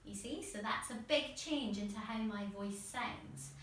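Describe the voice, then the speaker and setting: A woman's voice: on the high side, measured pace. A woman presenting an instructional voice workshop, informal and encouraging in manner. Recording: very echoey room, distant mic, faint noise.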